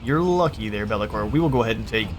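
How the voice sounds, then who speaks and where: A man's voice: on the low side, expressive, fast talker, music in the background. A man casually commenting on his own strategy game battle, thinking aloud as he gives orders to his units. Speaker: gaming channel host.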